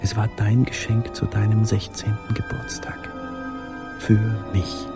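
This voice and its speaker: An actor playing a tender, yearning man who recalls a life in hiding in a measured, lyrical radio drama monologue. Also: on the low side, little pitch movement, gravelly. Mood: yearning, intense. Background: music.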